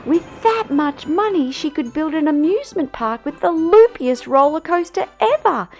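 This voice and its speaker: A woman reading a children's story aloud with theatrical, emphatic, drawn-out phrasing. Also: sits high.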